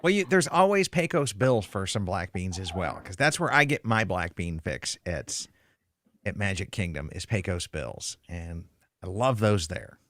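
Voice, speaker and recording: A low-pitched man hosts a casual live discussion, reading out viewer comments as he goes. His voice is dry and close, and he stresses his points by repeating words. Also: big pitch swings, unhurried, fairly bright tone, no echo. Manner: chatty, emphatic, repeats words for effect. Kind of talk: casual live-stream talk.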